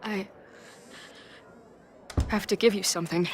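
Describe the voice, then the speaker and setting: An actress playing a guarded, tender woman torn over someone she loves, speaking in a halting, hushed way in a video game drama. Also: mid-range voice, steady tone, a little hiss or hum, lightly acted. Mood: reluctant, heavy.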